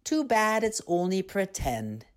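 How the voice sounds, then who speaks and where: A storyteller's high, plaintive little mermaid girl. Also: sing-song, a little slow. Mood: sad, sleepy.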